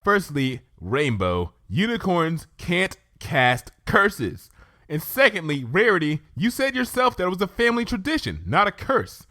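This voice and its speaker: Bookish cartoon girl unicorn, male reader, high, prim, indignant and insistent, very lively pitch. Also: unhurried, dry recording, clean studio sound, exaggerated voice.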